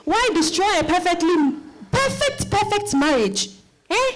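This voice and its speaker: Indignant mother played by an actress, high and animated, exclamatory, hammering words home; stage-style reading. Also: measured pace, a crowd in the background, full character voice, poor audio. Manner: exclamatory, repeats words emphatically. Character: indignant, exasperated West African mother.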